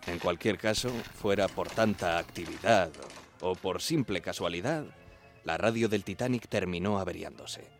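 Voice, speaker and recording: An actor playing an earnest young radio operator of the 1910s, narrating in the first person in a measured, plain way. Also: male voice, sits low. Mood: measured, reminiscing. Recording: music behind the voice.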